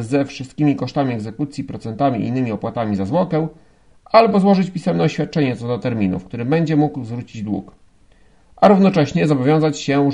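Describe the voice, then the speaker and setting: A condescending office clerk from a novel audiobook, performed by a male narrator. He sounds formal, smug and officious, in a creaky voice set above the usual pitch. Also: mellow. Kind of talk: audiobook character acting.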